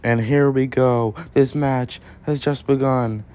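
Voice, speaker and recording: A man's voice: mid-range voice, fairly even intonation, takes it slowly, darkish tone, smooth. A man doing pro wrestling commentary and introductions, drawing out his phrases as he announces.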